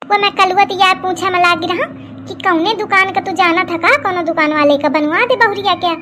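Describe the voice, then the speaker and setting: महिला की आवाज़ में बहुत ऊँची आवाज़ वाली अवधी सास. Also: female voice, very high voice, fairly quick, bright, forceful tone, slight background noise. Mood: placating. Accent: Awadhi. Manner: scolding, gossipy, boastful. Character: plump middle-aged scheming, suspicious village mother-in-law. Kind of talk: Awadhi comedy cartoon dialogue.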